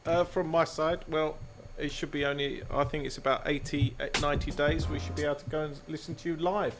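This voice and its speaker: Male panelist, flat even delivery, music clearly behind. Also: a little high, brisk. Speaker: panel guest. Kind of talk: casual remarks.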